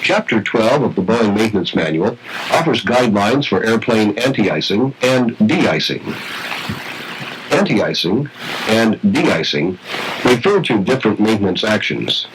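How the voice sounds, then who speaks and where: A man reading scripted instructional narration for a training film in a formal, measured, precise way. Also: lowish voice. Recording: noisy vintage training film soundtrack.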